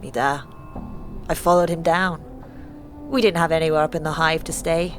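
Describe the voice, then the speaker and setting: A woman's voice: deep voice, steady tone, normal speed, faint music behind, natural voice. A woman playing a wary, bitter young woman from a poor slum in a fantasy audio drama, speaking tersely.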